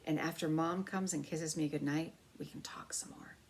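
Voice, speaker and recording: A woman's voice: low-pitched, fairly even intonation, normal speed, slight background noise. Woman doing a friendly kid in a storybook, young boy, polite, eager, talks casual. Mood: secretive whisper. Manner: casual childish phrasing.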